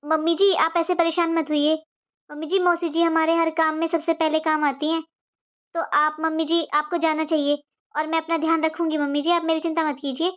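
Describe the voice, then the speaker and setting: A woman voicing a gentle, dutiful young daughter-in-law in an animated family drama, speaking in a polite, soothing, deferential manner. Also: high-pitched, brisk, lightly acted. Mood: reassuring.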